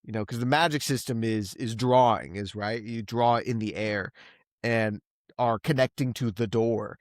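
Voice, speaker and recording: A man with a mid-pitched voice talks casually as a review show host. He thinks out loud, often stopping to rephrase. The sound is dry, with very little room in it. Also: normal speed, no room sound. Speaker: podcast host reviewing books. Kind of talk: casual discussion.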